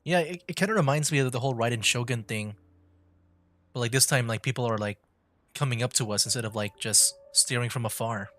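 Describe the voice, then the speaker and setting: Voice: mid-range voice, a little fast, fairly bright tone. A streamer giving laid-back, musing commentary during a casual gameplay livestream.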